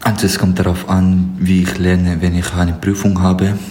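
A man's voice: low voice, completely flat delivery, normal speed, warm tone, reverberant. A man answering interview questions in a plain, matter-of-fact way. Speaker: interviewee, language learner.

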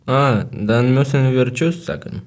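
Man, from Ukraine, learner German, mellow dark voice, matter-of-fact. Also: medium pitch, unhurried. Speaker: podcast co-host. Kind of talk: casual chat, learner German.

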